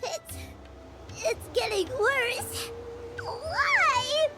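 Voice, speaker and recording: A woman voicing a tiny, excitable female fairy companion in an anime game, with a squeaky childlike voice and chirpy delivery. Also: extremely slow, full character voice. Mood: worried. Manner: chirpy, exclamatory, refers to herself in third person. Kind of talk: anime video game voice acting. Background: background music.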